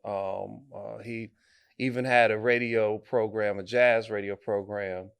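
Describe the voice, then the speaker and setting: A man from Detroit, a guest artist, answering interview questions in a thoughtful, halting way, with many fillers and restarts. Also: on the low side, steady tone, creaky, clean tone. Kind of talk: conversational interview.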